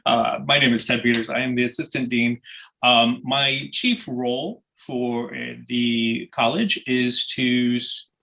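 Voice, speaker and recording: Gravelly-voiced man, university dean. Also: medium pitch, a little slow, slight background noise. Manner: relaxed, hesitant with many fillers. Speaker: assistant dean. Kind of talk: welcome session talk to students. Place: video call.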